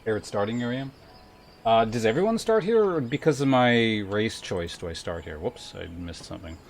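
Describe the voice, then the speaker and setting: A man's voice: lowish voice, expressive, moderate pace, vocal fry, a little hiss or hum. A man livestreaming a game, chatting casually and thinking aloud in a rambling, sometimes profane way. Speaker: game streamer. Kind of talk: casual livestream chat while playing. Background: game music.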